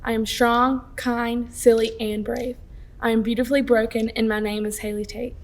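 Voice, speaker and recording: A woman's voice: fairly high pitch, level tone, normal speed, recorded from a distance. A twenty-year-old woman student delivering a scripted personal monologue. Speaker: student speaker.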